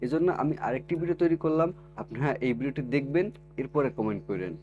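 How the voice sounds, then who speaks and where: A man's voice: mid-range voice, animated, fast, a little mellow, dull audio, faint music behind. A man narrates a how-to video for viewers as its host. His delivery is instructional and scripted, built on repeated step-by-step phrasing. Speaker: tech tutorial video host.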